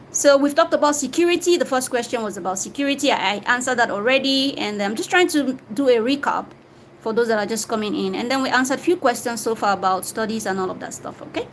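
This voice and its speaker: Young Nigerian woman, bright higher voice, conversational host of a live Q&A; noisy ambience behind her. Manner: chatty, reads viewer comments aloud and answers directly. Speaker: livestream host answering viewer questions. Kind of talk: casual live Q&A.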